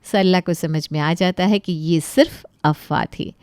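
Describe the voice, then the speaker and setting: A woman narrating a scripted moral story with expressive storytelling delivery. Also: lowish voice, measured pace, clear, resonant tone, dry recording, clean studio sound.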